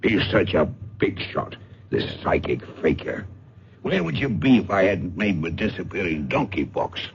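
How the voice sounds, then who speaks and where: Brief: bitter has-been magician, gravelly and boozy, mocking drawl with huge pitch swings, noisy old radio recording. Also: male voice, big pitch swings, moderate pace, lo-fi sound, full character voice. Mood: sarcastic, resentful. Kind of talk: old-time radio drama acting.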